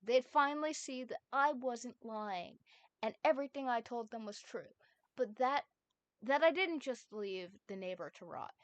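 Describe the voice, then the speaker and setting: A woman's voice: fairly high pitch, lively intonation, clear, resonant tone, dry recording, natural voice. A woman reading as a desperate teenage boy. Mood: bitter. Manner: pleading, rushed outbursts. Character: frightened, desperate teenage boy who is never believed. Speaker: streamer reading a fanfic aloud. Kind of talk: dramatic fanfic reading.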